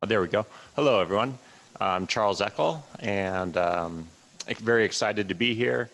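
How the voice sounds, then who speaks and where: A man's voice: on the low side, normal speed, a bit bright, rough recording. A man introducing himself at a conference, upbeat and eager, with a few restarts. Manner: upbeat and enthusiastic, some restarts. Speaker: conference presenter.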